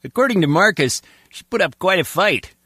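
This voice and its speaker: A man's voice: high voice, expressive, normal speed, bright, forceful tone, husky, dry recording, clean studio sound, heavily acted. A man playing a gossipy, easygoing henchman in a video game, chatty and colloquial.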